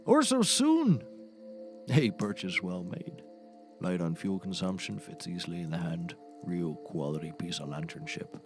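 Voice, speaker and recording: A low-pitched, eccentric comedy character, acted by a man, speaks like a self-serious folksy raconteur. He rambles grandly through his tall tales. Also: very animated, measured pace, soft background music, heavily acted. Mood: dramatic storytelling. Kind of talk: scripted audio comedy acting.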